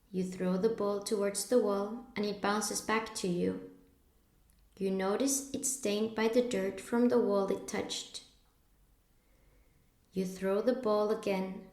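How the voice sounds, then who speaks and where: A woman narrating a guided meditation in a measured, instructive way, pausing between short phrases. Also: mid-range voice, a little mellow, echoey room.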